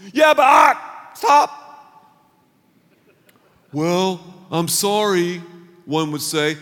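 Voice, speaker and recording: A middle-aged man preaching a sermon as pastor, telling stories with animated delivery and shouting out acted-out lines. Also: sits high, long reverb tail.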